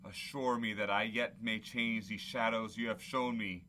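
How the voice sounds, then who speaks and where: Man acting fervent old Scrooge, bright voice. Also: on the low side, fairly even intonation, normal speed, a little hiss or hum. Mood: desperate pleading. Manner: fervent, exclamatory, theatrical. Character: old miser Scrooge, pleading then giddily redeemed. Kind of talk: stage radio play acting.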